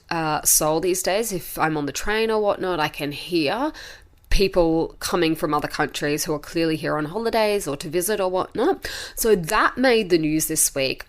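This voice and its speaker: A woman hosting a podcast, chatting casually while congested and a bit nasal from a cold. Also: low voice. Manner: chatty, conversational, frequent "uh" asides. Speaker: podcast host. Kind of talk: casual solo podcast chat.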